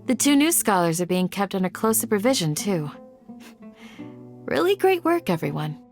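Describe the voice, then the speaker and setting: An actress voicing a kind young woman in a video game, talking in a soft, polite and encouraging way. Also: medium pitch, sing-song, normal speed, soft background music. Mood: gentle.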